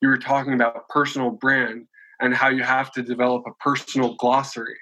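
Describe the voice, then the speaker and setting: A man's voice: medium pitch, level tone, brisk, sharp tone, highs cut off. A man in his late twenties, a podcast guest, talking in a chatty, rambling way with many restarts and fillers. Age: 20s. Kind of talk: casual conversational interview.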